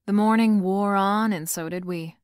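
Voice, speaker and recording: An actress plays a female private detective from a noir radio drama. She is brisk, bossy and quick with a wisecrack. Her voice sits at a middle pitch in a very dry recording. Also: steady tone, a little mellow, no echo, natural voice. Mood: wry, narrating.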